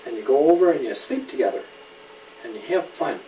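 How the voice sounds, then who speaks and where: A man's voice: medium pitch, lively intonation, fairly quick, warm tone. A father reading a story aloud to a child, patiently explaining and asking questions in casual asides. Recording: roomy, distant mic, faint background noise.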